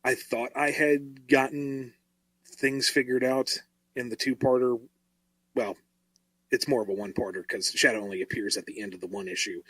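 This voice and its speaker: A man with a medium-pitched voice answers questions in a casual, reflective style. He thinks aloud haltingly, recorded very dry. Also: a bit bright, no room sound, poor audio. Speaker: comic writer, guest answering questions.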